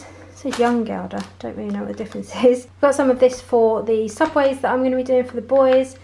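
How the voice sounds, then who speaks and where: A woman's voice: fairly high pitch, a little mellow, slight background noise. Chatty woman vlogger. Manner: chatty, laughs often. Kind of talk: casual haul vlog. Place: home conservatory, indoors.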